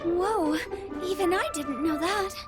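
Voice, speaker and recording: A gentle, sincere, androgynous boy in a game cutscene. The voice is extremely high and youthful, with a sweet temper. Music is clearly audible underneath. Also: takes it slowly. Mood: warm, happy. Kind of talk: video game cutscene acting. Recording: music behind the voice.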